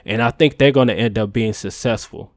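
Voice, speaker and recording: A man's voice: on the low side, fairly quick, no room sound, studio quality. A man on a casual gaming podcast, talking in a laid-back, slangy way. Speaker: podcast co-host.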